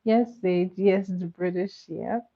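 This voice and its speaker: A woman with Igbo as her chats easily with her livestream viewers in a lowish voice, giggling and doubling back on her words. The sound is dull and lo-fi, like a home stream with a basic mic. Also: slow, vocal fry. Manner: chatty, giggly, repeats words. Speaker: livestream host. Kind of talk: casual chat with viewers.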